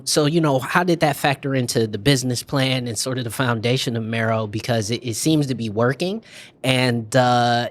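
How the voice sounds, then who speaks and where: A man's voice: medium pitch, dry recording. A man co-hosting a podcast, asking questions in an inquisitive, casual way. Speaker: podcast co-host. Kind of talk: casual interview.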